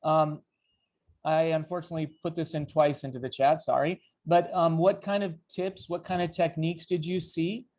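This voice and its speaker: Higher-pitched man leading a group discussion. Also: steady tone, moderate pace, a little mellow. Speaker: training facilitator. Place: online video call.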